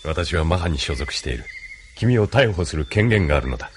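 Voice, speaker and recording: 男性声優がアニメのオーディオドラマで、落ち着いて威厳のある中年の軍大佐を演じている。. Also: male voice, low-pitched, very animated, a little hiss or hum. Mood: probing, assured. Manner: measured, authoritative. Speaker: voice actor. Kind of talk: anime audio drama acting.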